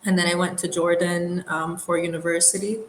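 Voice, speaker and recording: A young woman from the Middle East, an engineer, recalls her path in a reflective, unhurried interview voice, slipping in soft laughs. Her voice sits a little low. The recording sounds roomy, with a faint hum of noise behind her. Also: little pitch movement. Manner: thoughtful, unhurried, lightly laughing. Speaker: aerospace engineer guest.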